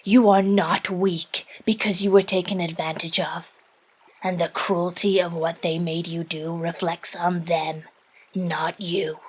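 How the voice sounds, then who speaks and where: A woman playing an earnest, fiercely supportive woman in an audio drama, speaking with tender, emphatic reassurance in a husky, breathy voice. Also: lowish voice, flat delivery, unhurried, low-quality recording. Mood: firm, reassuring.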